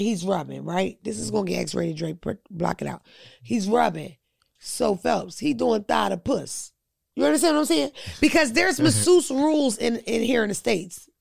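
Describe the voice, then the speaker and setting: A woman's voice: medium pitch, creaky, darkish tone, dry recording. A woman telling blunt, crude stories in a casual podcast chat, close to the mic.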